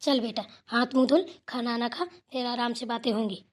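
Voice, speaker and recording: A woman's voice: fairly high pitch, normal speed, lightly acted. A woman voicing a grumbling but caring elderly village mother-in-law in a colloquial, fussing Hindi cartoon family drama. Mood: concerned, motherly.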